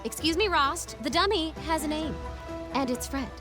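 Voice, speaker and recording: Young game heroine, high bouncy voice, cheeky, eager, excitable, music behind. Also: female voice, moderate pace. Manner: excitable, wide-eyed, cheeky. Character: plucky, eager, cheeky young adventurer girl. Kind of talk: animated family adventure game acting.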